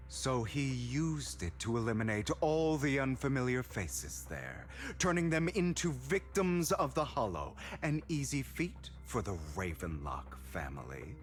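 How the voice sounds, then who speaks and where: An actor playing a young heir who is bitter and defiant toward his ruthless family, in a video game cutscene. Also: mid-range voice.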